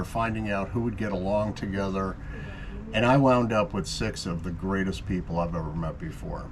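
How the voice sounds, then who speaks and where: A man's voice: lowish voice, noisy background. A man who owns a sailboat, casually telling the camera how he came to sail with strangers. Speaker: boat owner and vlog host.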